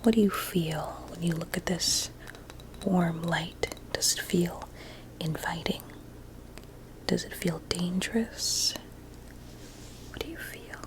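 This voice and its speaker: Slow whispering sinister doctor played by a woman. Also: fairly low pitch, low-quality recording. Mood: soothing, hypnotic. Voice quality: soft, breathy whisper. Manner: slow, measured, clinical and politely formal. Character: sinister, courteous woman doctor at a holistic wellness clinic. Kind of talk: ASMR roleplay, mostly whispered.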